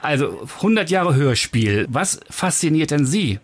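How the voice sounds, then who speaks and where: A man's voice: fairly high pitch, big pitch swings, unhurried, no room sound. A man presenting on radio, reading scripted narration and putting questions as an interviewer.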